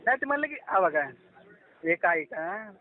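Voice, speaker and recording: A man's voice: high-pitched, sing-song, normal speed, a crowd in the background. A man with a Marathi accent from Maharashtra talks casually on a phone call, repeating his instructions insistently. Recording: tinny, phone-like call recording.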